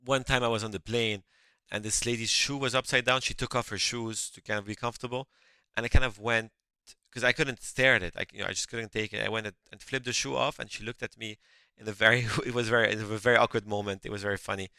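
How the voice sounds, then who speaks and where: A man's voice: fairly low pitch, steady tone, quick pace, pushed and bright, smooth, dry recording. A middle-aged man who grew up in France and speaks English as a later language, answering interview questions in an earnest way, often restarting his words. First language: French. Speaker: guest, company founder.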